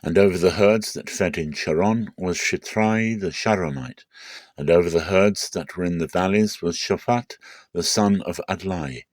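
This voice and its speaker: A man narrating a Bible passage as a measured, deliberate dramatic scripted reading. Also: deep voice, dry recording.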